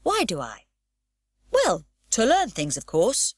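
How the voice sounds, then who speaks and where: A woman's voice: a little high, huge pitch swings, slow, no room sound, clean studio sound, pitched above the performer's own voice, full character voice. A woman voicing a grown male policeman who lectures in a stiff, sensible way. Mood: lecturing. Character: stern grown man, a policeman.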